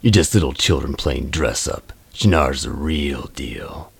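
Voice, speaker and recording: A man playing a tough, cynical low-level henchman in a radio play, husky and gravelly, with dismissive street talk. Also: expressive, a little slow, heavily acted. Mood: defiant.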